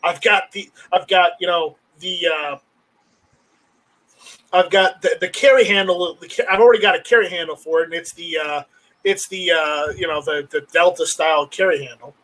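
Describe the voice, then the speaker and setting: A man hosting a livestream, chatting casually and haltingly, often repeating words as he rambles along. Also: sits high, a bit bright, poor audio. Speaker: livestream host. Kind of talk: casual unscripted chat about gear.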